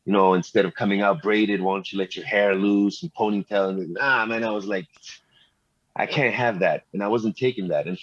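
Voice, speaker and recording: A man's voice: on the low side, steady tone, normal speed. A middle-aged man from Montreal, a rapper and producer telling his story in a laid-back way, full of street slang and "you know.". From Montreal, Canada. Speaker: rapper and music producer, guest. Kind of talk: casual interview storytelling. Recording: slightly dull, lo-fi sound.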